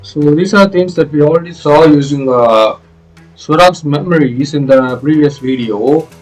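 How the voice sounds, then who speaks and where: A man, a non-native English speaker, giving casual, hesitant playthrough commentary and explaining things in a wordy, halting way. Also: fairly high pitch, rough recording. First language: not English. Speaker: gameplay video host. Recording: faint game music behind commentary.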